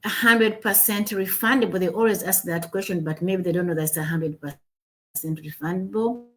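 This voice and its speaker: A woman's voice: on the low side, normal speed, a bit bright. A woman from Uganda hosting a livestreamed business talk, upbeat, with many fillers and repeated words. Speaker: webinar host. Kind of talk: casual livestream chat. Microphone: home computer mic on a video call.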